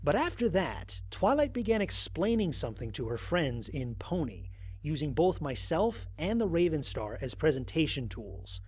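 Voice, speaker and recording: A husky astronaut played by a man records his mission logs for a sci-fi audio drama. He is wry and grumbling, and his conversational delivery swings expressively up and down from a somewhat high-set male voice. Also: sing-song, moderate pace, a little mellow. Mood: reflective. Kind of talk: dramatized first-person log narration.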